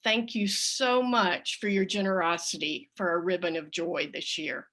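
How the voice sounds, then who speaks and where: A woman speaking as a church leader, giving announcements and an offering appeal to her congregation in an appreciative, deliberate way. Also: fairly low pitch, steady tone, a bit bright.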